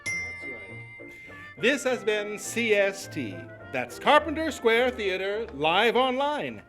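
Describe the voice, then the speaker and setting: A man's voice: sits high, sing-song, takes it slowly, pushed and bright, soft background music. A man announcing a show in old-time radio style.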